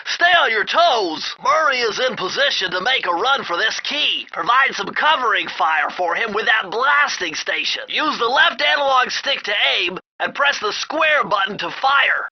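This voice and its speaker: A man voicing a nerdy, excitable young turtle gadget expert in a video game, giving precise, earnest mission instructions in a nasal voice. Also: very high voice, exaggerated voice. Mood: cautioning, explaining. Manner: precise, bookish, earnest delivery. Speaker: mission guide. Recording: dull, lo-fi game audio.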